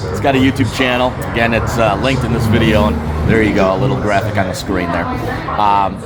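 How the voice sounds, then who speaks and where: A man's voice: on the low side, little pitch movement, brisk, heavy background noise. A man hosting a casual food vlog, joking and exaggerating as he chats. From Wisconsin, United States. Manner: joking, exaggerates his suffering. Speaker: travel and food vlog host. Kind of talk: casual chat, restaurant review. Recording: loud music and busy crowd.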